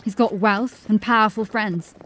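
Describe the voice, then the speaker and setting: A woman's voice: mid-range voice, fairly even intonation, moderate pace, fairly bright tone, lightly acted. An actress playing a confident, teasing female watch officer with a wry edge in a fantasy audio drama. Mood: concerned. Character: bold, playful, catty female watch officer undercover.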